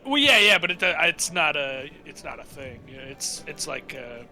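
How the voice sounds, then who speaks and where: A man's voice: fairly high pitch, sing-song, moderate pace, sharp tone, faint music behind. A man playing a tabletop game, chatting casually in a hesitant, rambling way.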